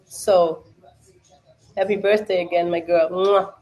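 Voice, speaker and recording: A woman's voice: lowish voice, steady tone, slow pace, darkish tone, highs cut off. A young woman with a German accent, hosting a casual livestream watch party, chatting with many fillers and answering viewers' questions.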